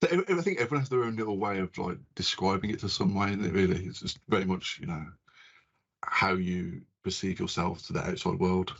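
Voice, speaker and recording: Very low, husky man, dull recording. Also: fairly quick, a bit bright. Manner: rambling, informal, repeats phrases. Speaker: podcast host. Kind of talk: casual chat. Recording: dull, lo-fi sound.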